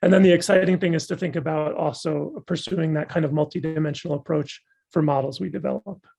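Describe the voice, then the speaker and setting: Hoarse man answering questions. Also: on the high side, fairly even intonation, brisk, darkish tone. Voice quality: gravelly and husky. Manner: thoughtful, enthusiastic academic explaining. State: losing his voice. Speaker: guest speaker. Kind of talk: answering audience questions after a talk.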